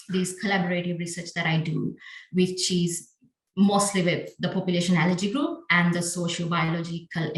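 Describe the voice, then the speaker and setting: A woman's voice: lowish voice, roomy sound, rough recording. A young woman from Sri Lanka, a biostatistician, giving a friendly talk with slides and many fillers. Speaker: conference speaker, biostatistician.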